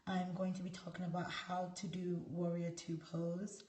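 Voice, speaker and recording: Hoarse woman yoga teacher, cueing a pose as she does it, heard from across a very echoey room. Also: fairly low pitch, monotone, moderate pace, a little mellow. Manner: talks through poses while demonstrating, stops to greet viewers. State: hoarse from a cold. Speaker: yoga instructor. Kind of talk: live-streamed yoga lesson. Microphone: camera set back across the room. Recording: echoey room, voice far from the mic.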